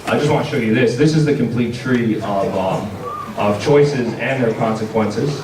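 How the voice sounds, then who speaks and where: A man giving an informal convention panel presentation in a hesitant, halting way. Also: mid-range voice, crowd noise. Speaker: panel presenter for a game publisher. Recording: distant mic in echoey, noisy room.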